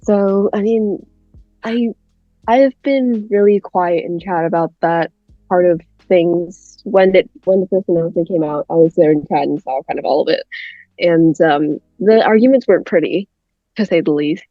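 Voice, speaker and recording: A woman's voice: fairly low pitch, moderate pace, dry recording. A woman chatting casually as a panel guest, hesitant and leaning on filler words, over a lo-fi voice chat.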